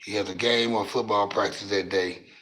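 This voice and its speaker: A raspy-voiced man, hosting a casual livestream and talking loose and profane into a phone mic from his couch at home. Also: fairly low pitch, steady tone, normal speed, fairly bright tone. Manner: loose, profane, drawling street talk. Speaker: livestream host.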